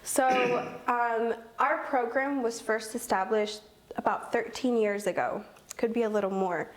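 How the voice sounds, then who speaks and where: A woman's voice: on the high side, steady tone, a little slow, poor audio. A young woman in her twenties from Kentucky, a program coordinator, answers interview questions earnestly, with many ums. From Owensboro, Kentucky.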